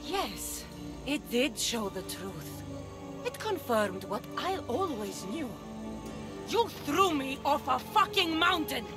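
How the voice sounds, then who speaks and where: A cold, scornful young Greek warrior woman in a dramatic game scene. Her voice sits fairly high and swings widely with contempt and threat, over prominent music. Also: big pitch swings, takes it slowly. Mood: bitter anger. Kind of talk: video game dramatic acting.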